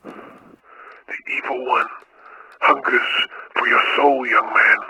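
An actor playing a menacing, doom-preaching caller with a hissing rasp, phoning a radio station in a horror audio drama. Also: male voice, medium pitch, fairly even intonation. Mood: ominous zeal. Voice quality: hissing, raspy whisper. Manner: slow, ominous, preacherly. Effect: telephone call into a radio station.

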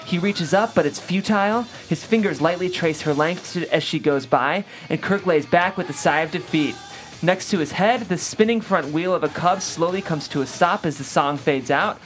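A woman's voice: on the low side, moderate pace, soft background music. A woman hosting and reading aloud, as at a script table read. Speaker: host and script reader. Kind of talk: reading screenplay action aloud, then interviewing the writer.

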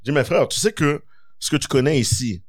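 A man tells a story in a casual podcast chat, voicing each person in the dialogue. His pitch swings widely. The sound is close and dry. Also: fairly quick, no room sound. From Quebec. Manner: expressive storyteller, acts out dialogue.

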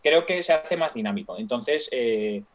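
A man's voice: mid-range voice, lively intonation, normal speed, a little hiss or hum. Young Spanish man, hesitant and friendly. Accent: Peninsular Spanish. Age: young adult. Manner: hesitant, many fillers and restarts, friendly. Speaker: guest YouTuber. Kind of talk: casual live-stream chat.

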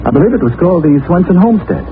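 Animated, earnest young man, old radio. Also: sits high, racing, slight background noise. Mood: insistent. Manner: polite, urgent, insistent. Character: earnest, determined young man seeking missing family. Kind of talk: 1940s radio acting. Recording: tinny old radio recording with organ music.

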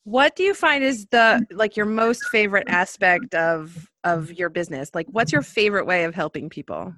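A woman hosting an organizing podcast, friendly and quick to laugh while interviewing a guest. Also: medium pitch, animated, a bit bright, no echo. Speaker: podcast host, professional organizer.

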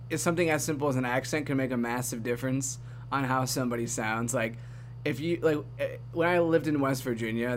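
A man hosting a podcast in a casual, upbeat way, chatty and quick to laugh. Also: medium pitch, a little hiss or hum. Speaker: podcast host. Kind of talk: casual chat.

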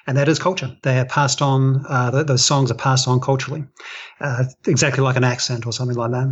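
New Zealand man, slightly husky. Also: mid-range voice, little pitch movement, fast, lo-fi sound. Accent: New Zealand, softened toward British. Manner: thoughtful, agreeable, repeats words as he thinks. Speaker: guest, professor and author. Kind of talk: casual conversation.

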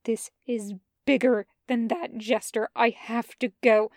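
A woman's voice: steady tone, slow, darkish tone, no room sound, clean studio sound. Brooding storybook man, harsh and clipped, as a woman reads him. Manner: clipped, growling, low and tense.

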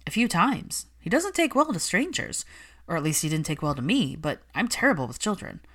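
A woman's voice: fairly low pitch, very animated, brisk, a bit bright, heavily acted. Woman acting an earnest, caring woman healer. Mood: surprised, frank. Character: Padma, concerned, caring woman healer of werewolves.

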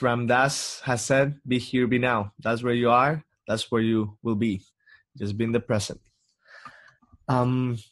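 Reflective young man hosting a podcast. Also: medium pitch. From Mexico. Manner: slow, reflective, with many pauses and ums. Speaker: podcast host. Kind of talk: solo podcast monologue.

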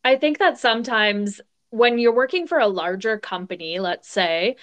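Clear-voiced woman podcast host. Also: a little high, expressive, normal speed, fairly bright tone. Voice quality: asks long, winding questions. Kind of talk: interview questions.

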